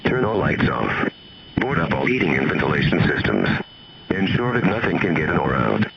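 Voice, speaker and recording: Deep gravelly male emergency announcer, very noisy. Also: big pitch swings, normal speed. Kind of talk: scripted emergency broadcast. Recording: dull radio broadcast under loud noise.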